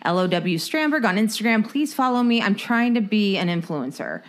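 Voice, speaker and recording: Playful woman with very lively intonation. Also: medium pitch, normal speed. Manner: playful, teasing. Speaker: podcast co-host. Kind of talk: casual chat.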